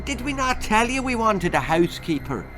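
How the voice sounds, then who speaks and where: A man acts out a scruffy little male leprechaun from a children's storybook. He speaks in a folksy Irish brogue, pitched above a normal male voice, sly and wheedling. Faint music plays behind him. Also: big pitch swings, normal speed, fairly bright tone. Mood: wheedling, cheery.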